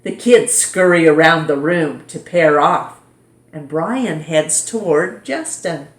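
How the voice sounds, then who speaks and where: A woman narrates a children's storybook in a fairly low voice, taking her time over every phrase. She is expressive and deliberate, shaping the story for young listeners. The room adds a clear echo. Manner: animated, deliberate, dramatizes dialogue for young listeners. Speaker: storyteller reading a picture book aloud. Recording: roomy echo.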